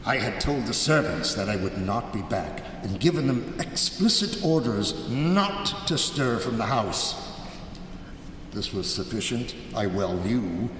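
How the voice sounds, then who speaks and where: An older man playing an aged, gloating murderer who recounts his crime in halting, savoring narration for a live radio play. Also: fairly low pitch, fairly bright tone, full character voice. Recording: echoey hall, live stage, clearly noisy.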